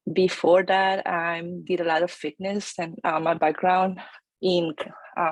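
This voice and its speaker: A woman, her voice sitting on the low side. She talks energetically, full of ums and restarts, in a dry room. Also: dry recording, rough recording. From Chile. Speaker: real estate agent, guest. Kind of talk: casual interview storytelling.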